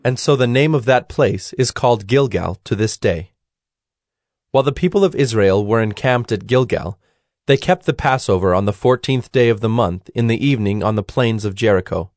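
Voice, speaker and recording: A man narrating scripture from a script in a formal, measured storytelling manner. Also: medium pitch, lively intonation, a little mellow, no room sound, clean studio sound.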